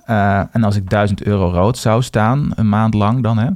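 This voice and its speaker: A man co-hosting a podcast in casual chat, speaking in a hesitant, halting way. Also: fairly low pitch, fairly even intonation, darkish tone, no echo. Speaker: podcast co-host.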